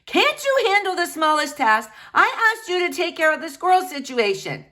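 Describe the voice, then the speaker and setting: Woman playing sharp-tongued storybook mother, high-pitched, very animated, scolding, exasperated. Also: normal speed, full character voice. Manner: shrill exclamations, scolding.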